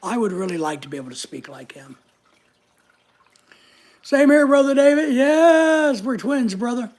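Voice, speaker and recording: A middle-aged man with a high voice often taken for a woman's, chatting casually and joking at his own expense while reading viewer comments. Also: sing-song, measured pace, darkish tone. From United States. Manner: chatty, joking, self-mocking. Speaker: channel host reading live chat. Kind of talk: casual livestream chat.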